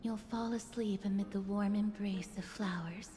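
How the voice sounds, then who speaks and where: A woman voicing a calm, gentle young female executioner who speaks softly and evenly. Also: medium pitch, normal speed, lightly acted. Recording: game audio with reverb and music.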